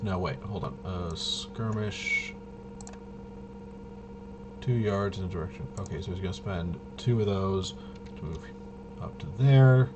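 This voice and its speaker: A man thinking aloud through a tabletop game walkthrough, casually muttering to himself in a halting way and trailing off. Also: sits low, background noise. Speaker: solo tabletop RPG player demonstrating gameplay.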